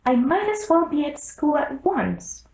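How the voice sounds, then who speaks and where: A well-mannered young storybook girl, voiced by a woman, full of wonder and a little timid. She speaks slowly and politely, with an audible room echo. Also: high voice, sing-song, vocal fry, darkish tone, voice pushed up, exaggerated voice. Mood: put out. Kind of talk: storybook character reading. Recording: roomy echo.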